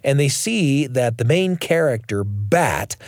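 A man's voice: mid-range voice, lively intonation, slow, no echo, clean studio sound. A man hosting a radio show, reading scripted narration. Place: studio.

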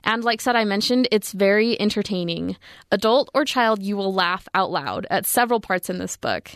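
A woman's voice: medium pitch, lively intonation, moderate pace, fairly bright tone, no echo, clean studio sound. A woman in her twenties, a student giving a prepared book review. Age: 20s.

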